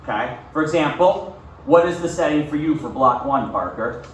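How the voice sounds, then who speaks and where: A man's voice: on the high side, expressive, moderate pace. A male school teacher giving a classroom lesson in an instructional way, often checking in with short questions. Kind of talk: classroom lesson with read-aloud. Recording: echoey room, far from mic, faint noise.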